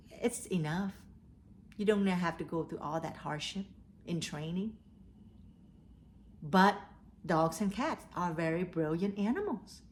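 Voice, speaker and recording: A woman hosting a read-aloud for children, telling stories and giving advice in a deliberate way. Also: fairly low pitch, a little mellow, a little hiss or hum. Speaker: host of a children's read-aloud storytelling show. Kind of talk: storytelling and advice addressed to children.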